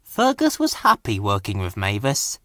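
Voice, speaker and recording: Bright, very animated male storybook reader. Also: on the low side, moderate pace, no echo, clean studio sound. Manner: expressive, dramatic storytelling. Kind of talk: scripted children's story narration.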